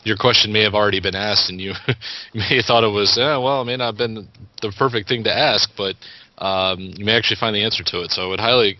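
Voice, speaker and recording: Low-pitched male co-host, rambling, dry and dull lo-fi sound. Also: dry recording. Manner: rambling, many fillers and restarts. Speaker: podcast co-host. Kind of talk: casual chat.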